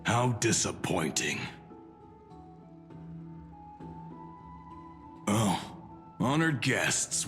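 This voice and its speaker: An actor playing a proud, haughty military officer in a fantasy video game, speaking in a formal, pompous way. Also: male voice, low-pitched, fairly bright tone. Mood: formal, smug. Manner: formal, pompous, easily flustered. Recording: long reverb, music underneath.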